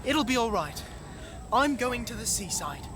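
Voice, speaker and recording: An actor as a timid, plucky young animal hero, swooping pitch. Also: big pitch swings, unhurried, background noise. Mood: nervous self-reassurance. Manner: fretful, old-fashioned British children's-book diction, sighing. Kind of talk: storybook audio drama acting.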